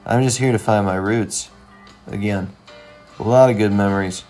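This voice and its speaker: A man's voice: lowish voice, fairly even intonation, normal speed, a little mellow, faint music behind, natural voice. A man voicing a moody, nostalgic young man in a cartoon, speaking in an earnest, hesitant, melodramatic way. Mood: earnest, wistful. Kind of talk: animated comedy-drama dialogue.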